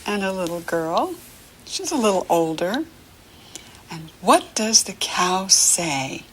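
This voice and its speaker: An American woman reading a picture book to young children as a lesson, encouraging and animated, asking questions as she goes. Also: fairly low pitch, slow, a little hiss or hum, rough recording. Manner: encouraging, asks questions and leaves room to answer; animated, sing-song child-directed talk.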